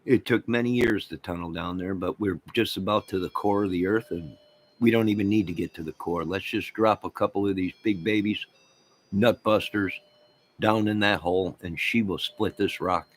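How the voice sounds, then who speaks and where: A man's voice: deep voice, normal speed. An American man giving a rambling, off-the-cuff rant as an online channel host, speaking in an exasperated, repetitive and emphatic manner.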